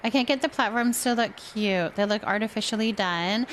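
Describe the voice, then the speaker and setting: A woman chatting casually on a livestream as host, musing indecisively out loud while she decorates. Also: medium pitch, moderate pace, a little hiss or hum.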